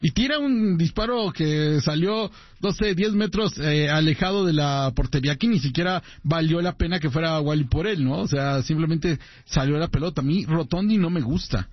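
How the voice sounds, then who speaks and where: A man's voice: a little high, normal speed, no echo. A man on a sports radio panel, giving blunt, opinionated critique. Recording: dry, dull lo-fi broadcast.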